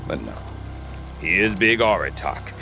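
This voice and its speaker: An actor playing a cynical, grumbling frontier trader in a game, with a sardonic, colloquial way of talking. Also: male voice, deep voice, big pitch swings, takes it slowly, gravelly, pushed and bright, faint music behind, full character voice. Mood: wry, grumbling. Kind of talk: conversational game dialogue.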